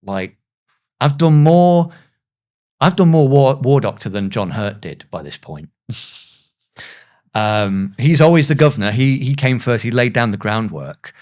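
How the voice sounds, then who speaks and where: A man speaking reflectively as an interview guest, earnest and self-deprecating, with halting pauses as he gathers his thoughts. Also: medium pitch, expressive, a little mellow. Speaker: voice actor, podcast guest.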